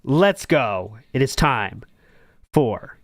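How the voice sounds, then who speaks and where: A man's voice: mid-range voice, big pitch swings, extremely slow, no echo, studio quality. A man hosting a casual comedy podcast, commenting mockingly on clips and swearing freely. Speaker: podcast host.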